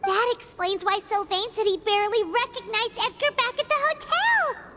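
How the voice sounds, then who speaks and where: Soft caring young healer, very high voice, long reverb tail. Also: female voice, animated, moderate pace, faint music behind, heavily acted. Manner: soft, earnest, concerned. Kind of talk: voiced game dialogue.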